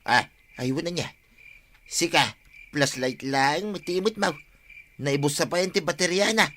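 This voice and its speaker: Man playing exasperated filipino night watchman, bit high and sharp, scolding, some noise behind. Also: unhurried. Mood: impatient. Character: exasperated, blunt village night-watch partner. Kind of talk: Filipino radio drama acting.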